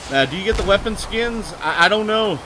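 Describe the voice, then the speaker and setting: A man streaming live gameplay, talking loud and excitably, with a booming voice, cursing at the game and answering chat. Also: fairly quick, noisy background. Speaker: gaming streamer.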